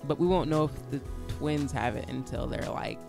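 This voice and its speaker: Woman, stutter, casual podcast co-host, soft, thoughtful, faint background music. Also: rumbling low, normal speed, creaky. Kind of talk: casual chat between spouses.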